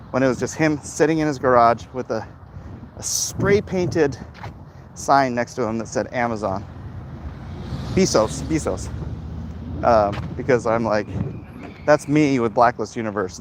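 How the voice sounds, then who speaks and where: A man talking casually off the cuff in a rambling, opinionated, halting way, like a livestream host commenting and answering chat. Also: mid-range voice. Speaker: host of a livestream vlog, comic creator. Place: outdoors, walking in an urban area. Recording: clear outdoor traffic and street noise.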